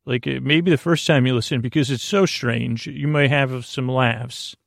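A man hosting a sleep podcast with a meandering, halting bedtime monologue, gently whimsical and reassuring, often drifting into tangents. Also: medium pitch, creaky, dry recording, clean studio sound.

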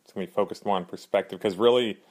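Deep low guy getting over a cold, slightly hoarse, chatting earnestly on his podcast, restarts a lot. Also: steady tone, very fast, darkish tone. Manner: earnest, rambling, frequent fillers and restarts. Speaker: podcast host. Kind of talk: casual solo podcast talk.

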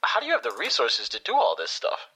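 A man's voice: mid-range voice, huge pitch swings, fast, sharp tone, husky, exaggerated voice, poor audio. A young man acting as a nervous, doubtful superhero intern in an audio drama, hesitant and stammering. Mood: flustered, protesting. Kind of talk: naturalistic audio drama dialogue.

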